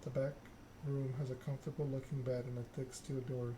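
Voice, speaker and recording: A man softly narrating a story game in ASMR style, reading in a measured, deliberate way. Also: mid-range voice, flat delivery. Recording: clearly noisy background ambience.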